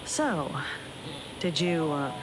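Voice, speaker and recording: A woman's voice: sits low, big pitch swings, very slow, background noise, exaggerated voice. An actress playing a cool, detached, by-the-book female investigator in a video game. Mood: cool, measured.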